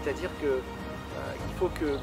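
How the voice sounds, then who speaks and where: A man's voice: a little high, fairly quick, loud music behind the voice. A man, giving an informal testimonial as a volunteer event organiser, hesitantly searching for his words. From Paris, France. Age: 60s.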